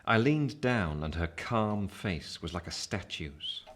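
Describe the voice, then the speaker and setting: An actor playing a reflective Victorian gentleman narrating his own life story, measured and literary. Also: low-pitched, lively intonation, full character voice. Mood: wistful. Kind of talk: scripted narration.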